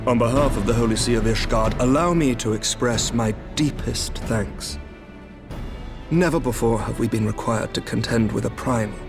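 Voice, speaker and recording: A man voicing a gravelly, shrewd knight commander. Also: medium pitch, over music, natural voice. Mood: calm, diplomatic. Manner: formal, measured, archaic diction. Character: shrewd middle-aged male knight commander, composed. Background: orchestral game score.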